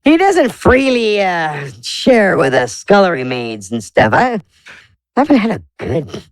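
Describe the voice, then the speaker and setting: A woman playing an eager, earthy young scullery maid who talks in a chatty, halting way in a tabletop roleplay. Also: lowish voice, sing-song, no echo. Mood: pleased, relaxed.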